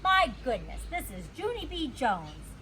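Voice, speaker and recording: A woman's voice: sits high, very animated, a little slow, sharp tone, a little hiss or hum, full character voice. A woman voicing a cheerful, reassuring grandfather in a storybook, hearty and emphatic.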